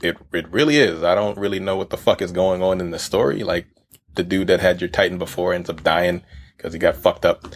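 A man's voice: low-pitched, fairly even intonation, brisk. A man co-hosting a casual podcast, laid-back, swearing freely and laughing at himself. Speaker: podcast co-host.

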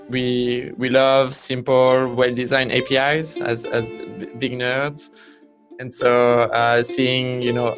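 A man from France with a French accent, in his thirties, a startup founder answering interview questions in a hesitant way, with many restarts. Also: medium pitch.

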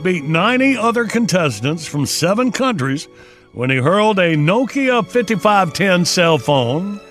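This is a man hosting morning radio, his voice sitting noticeably high. He teases, laughs easily and keeps the segments moving. A music bed plays clearly behind him in the studio. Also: big pitch swings, a bit bright. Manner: laughs often, quick teasing delivery. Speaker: radio morning show host. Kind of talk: joking morning radio banter.

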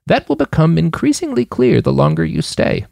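A man voicing a blunt, wry old grandmother who leads with dry, measured explanations in an audiobook story. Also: on the high side, sing-song, vocal fry, darkish tone, no echo, pitched above the performer's own voice, full character voice. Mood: wry, frank. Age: older. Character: eldest old woman leader, wry, blunt, matter-of-fact grandmother.

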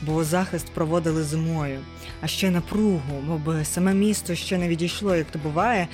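A woman's voice: sits low, fairly quick. A woman narrates a personal audio essay in a reflective storytelling way. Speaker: podcast narrator. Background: background music.

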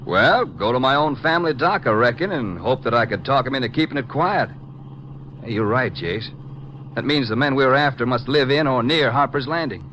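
A man's voice: mid-range voice, expressive, moderate pace, a bit bright, slight background noise, close to an everyday voice, rough recording. An American actor playing a good-natured, eager cowboy lawman with folksy talk in a 1950s radio western. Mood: curious.

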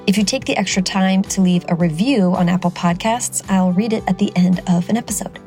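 A woman's voice: medium pitch, a little fast, mellow, soft background music. A woman hosting a podcast about writers, reading her upbeat intro and then asking interview questions. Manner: upbeat, promotional read. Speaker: podcast host.

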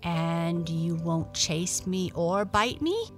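A woman's voice: fairly low pitch, lively intonation, slow, faint music behind. A woman voicing a timid, tired young female cat in a children's storybook, polite and pleading. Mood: anxious.

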